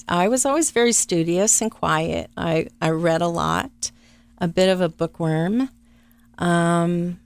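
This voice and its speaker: Low-voiced woman, thoughtful interview guest. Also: smooth, no room sound. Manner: soft-spoken, thoughtful, with frequent pauses. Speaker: interview guest, travel business owner. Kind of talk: conversational interview.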